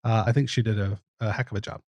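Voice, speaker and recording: A man's voice: fairly low pitch, fairly even intonation, quick pace, no room sound, lo-fi sound. A man speaking casually as a producer guesting on a podcast. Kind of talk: casual interview talk.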